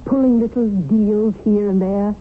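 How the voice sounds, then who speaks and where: Actress as scheming, seductive widow on tinny radio. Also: female voice, medium pitch, steady tone, a little slow, close to an everyday voice. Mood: seductive, urging. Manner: smooth-talking, insistent, self-assured. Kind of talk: 1970s radio drama acting. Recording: tinny, noisy old radio broadcast.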